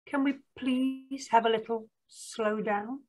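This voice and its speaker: A woman voicing a gentle, polite little girl in a storybook, weary of being hurried. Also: a little high, steady tone, pitched above the performer's own voice. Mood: weary, pleading.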